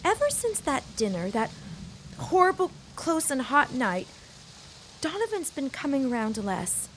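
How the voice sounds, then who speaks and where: An actress playing a proud, well-off widow who speaks formally and indignantly in a period audio drama. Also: female voice, high voice, very animated, measured pace, a bit bright, a little hiss or hum, heavily acted, low-quality recording. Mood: aggrieved. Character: proud well-off young widow, haughty and exasperated with mother.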